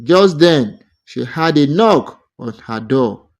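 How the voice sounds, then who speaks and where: A man narrating a scripted romantic folktale as a storyteller, in deliberate, measured short phrases. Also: fairly high pitch, expressive, muffled recording.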